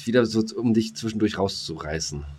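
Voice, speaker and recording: A man with a fairly low voice chats casually as a podcast host. He rambles in a joking way and often repeats his phrases as he goes. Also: fairly quick, a little mellow, husky. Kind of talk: casual comedic podcast chat.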